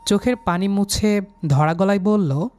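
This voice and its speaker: A man's voice: high-pitched, a little slow, a little mellow. A young man reading a scripted romantic story in the first person, voicing the lead character's lines.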